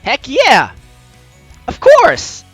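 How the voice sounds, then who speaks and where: A man playing a visual novel, reading the text aloud with excitable, joking reactions and the odd stumble, over music. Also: very high-pitched, drawn-out words. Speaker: let's player. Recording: music behind the voice.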